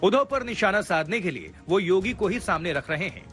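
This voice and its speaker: A man's voice: high-pitched, lively intonation, fairly quick, music in the background. A man narrating a scripted television news report with a dramatic, punchy delivery.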